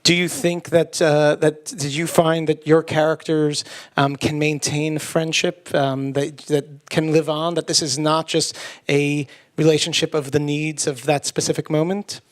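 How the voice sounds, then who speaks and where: A man's voice: a little high, flat delivery, a little slow. A man moderating a post-screening Q&A, asking long, rambling questions full of fillers. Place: screening auditorium with audience.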